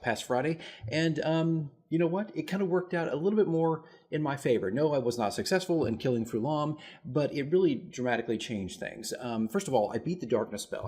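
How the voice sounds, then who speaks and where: Husky man rambling through a story. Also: mid-range voice, a little mellow. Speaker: dungeon master keeping a campaign diary. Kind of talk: casual solo storytelling recap.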